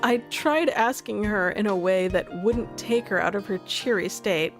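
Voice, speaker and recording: A woman's voice: mid-range voice, unhurried, over music. A woman streaming a visual novel, reading narration aloud with giggly, amused asides. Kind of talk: live game stream with read-aloud narration and reactions.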